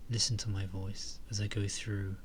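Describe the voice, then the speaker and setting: A man leading a guided meditation and reflection in a soft, calm way, with phrases trailing into breathy murmurs. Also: on the low side, moderate pace, slight background noise.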